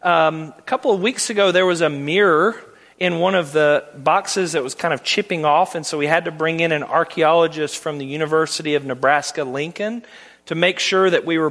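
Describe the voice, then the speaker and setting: A man's voice: fairly high pitch, a bit bright. A man in his thirties with a Southern accent, a guest preacher giving a sermon in a folksy, conversational way that builds to emphatic points. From Tennessee. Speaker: guest preacher, Bible department head. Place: church sanctuary. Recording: long hall reverb.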